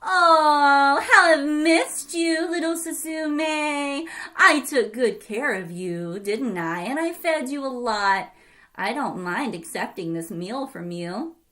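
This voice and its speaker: A woman storyteller voicing a greedy, jealous old grandmother who is pushy and loud. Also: high-pitched, lively intonation, takes it slowly, full character voice. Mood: sugary. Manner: loud, impatient and demanding.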